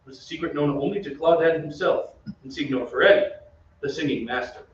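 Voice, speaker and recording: A man playing an earnest, reflective gentleman who narrates and speaks in a radio drama. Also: fairly high pitch, a little fast, natural voice. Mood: reflective, narrating. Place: theatre stage, live reading. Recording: echoey stage, distant mic, muffled, hiss.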